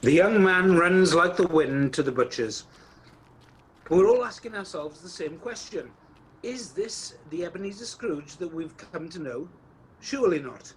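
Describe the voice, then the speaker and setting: A man's voice: sits high, expressive, unhurried, a little hiss or hum, rough recording. A man playing the wry, theatrical narrator of a radio play, addressing the listener directly. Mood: wry, incredulous. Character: wry storyteller narrator of a Christmas tale, theatrical.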